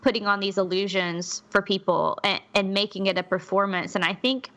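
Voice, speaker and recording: A woman's voice: mid-range voice, level tone, moderate pace, fairly bright tone. A woman co-hosting a podcast, telling stories in a chatty, candid way with frequent asides. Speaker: podcast co-host. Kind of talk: conversational storytelling with notes.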